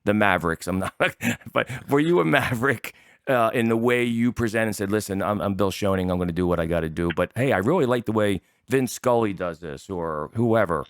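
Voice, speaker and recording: A man, middle-aged or older, hosting a casual interview and asking rambling, halting questions. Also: lowish voice, expressive, clean tone, dry recording, studio quality. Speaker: show host.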